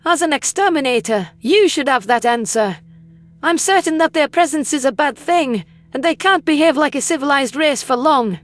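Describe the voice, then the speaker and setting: A high, stammering female alien played by a woman in a science fiction audio drama. She is traumatized and bitter, speaking with agitated intensity about what frightens her. Faint music sits underneath. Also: lively intonation, normal speed. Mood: accusing, despairing. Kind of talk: dramatized audiobook character acting.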